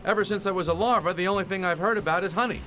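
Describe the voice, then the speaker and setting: An actor playing a wry, restless young bee hero in a cartoon game, sardonic and questioning. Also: male voice, high-pitched, very fast, pushed and bright, slight background noise. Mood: wry, frustrated. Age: young adult.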